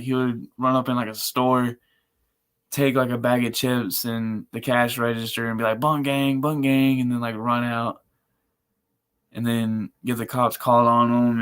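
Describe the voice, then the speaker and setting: Chill dude on a livestream, flat monotone, smooth clear voice, slangy rambling. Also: male voice, medium pitch. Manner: laid-back, chill. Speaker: live streamer. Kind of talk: casual livestream chat.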